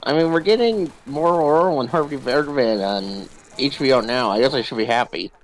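A man's voice: medium pitch, moderate pace, low-quality recording. A man chatting casually and jokingly with a friend while playing a co-op video game. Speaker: gamer playing co-op. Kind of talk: casual gaming chat. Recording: background game noise, ambience.

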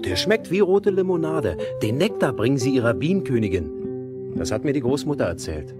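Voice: mid-range voice, sing-song, normal speed, over loud music, natural voice. A performer playing a bold, imaginative little boy in a fairy-tale audio drama, confident and eager. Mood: playful.

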